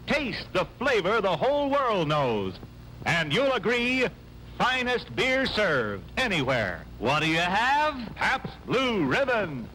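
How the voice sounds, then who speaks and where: A man's voice: sits high, sharp tone, a little hiss or hum, rough recording. A man announcing a vintage radio commercial, upbeat and emphatic.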